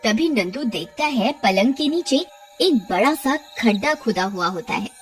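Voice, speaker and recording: A woman's voice: fairly high pitch, lively intonation, a little slow, loud music behind the voice. A woman reading scripted narration for a cartoon horror story.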